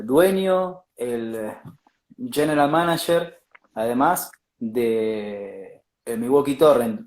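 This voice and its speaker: A man's voice: mid-range voice, a little mellow, reverberant. A man from Argentina with an Argentine accent, a native Spanish speaker, hosting a casual live interview and stopping often to search for English words.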